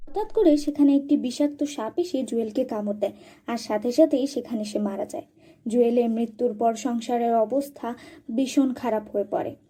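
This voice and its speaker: A woman reads scripted narration for a cartoon story. Her voice sits high yet has a dark, mellow tone. A light huskiness runs through the storytelling. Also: normal speed.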